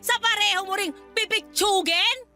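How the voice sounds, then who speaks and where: Woman acting a harsh, very high-voiced scornful mother. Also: moderate pace, sharp tone, soft background music. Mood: scornful, angry. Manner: scolding, accusatory, cutting. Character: harsh, scornful, money-minded mother berating her grown daughter. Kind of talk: Filipino radio drama acting.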